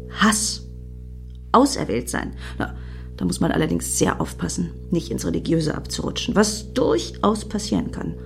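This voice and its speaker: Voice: medium pitch, creaky, full character voice. An actor in a radio play voicing a wry, musing club-goer with reflective, hesitant asides.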